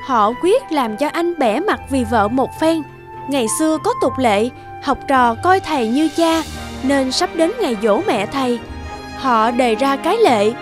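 A woman narrates a scripted children's fairy tale. Her voice is high, and music runs clearly behind it. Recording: music under the voice.

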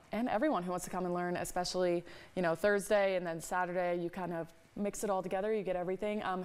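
A woman's voice: fairly low pitch, clean tone. A young woman hosting a live morning news interview in a TV studio, upbeat and encouraging. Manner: upbeat, encouraging, frequent "okay" and "very cool".

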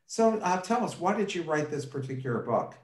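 A man's voice: a little high, very animated, brisk. Man, middle-aged, podcast host, echoey room, video call. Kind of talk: interview host. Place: office indoors. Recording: roomy echo on a video call.

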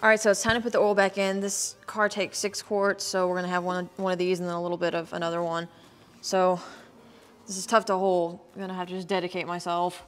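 A woman casually narrating her own car maintenance in her home garage, chatty and quick to laugh off her own mishaps. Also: on the low side, little pitch movement, soft background music. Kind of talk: casual how-to narration while working. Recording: echoey shop with long reverb.

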